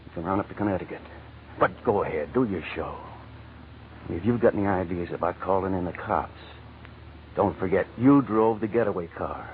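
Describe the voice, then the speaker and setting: An actor playing a menacing, sly gambler and killer in an old-time radio crime drama, talking tough, slangy and bossy. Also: male voice, low voice, normal speed, lightly acted. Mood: threatening. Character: menacing male gambler and killer, slyly threatening. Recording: muffled, phone-like old radio recording, noisy.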